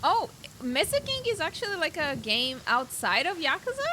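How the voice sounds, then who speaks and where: A woman streams a game and reacts casually as she plays. Her voice is high and lively, with clear game ambience and noise behind her. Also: normal speed. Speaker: gaming streamer. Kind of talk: casual let's-play reaction commentary.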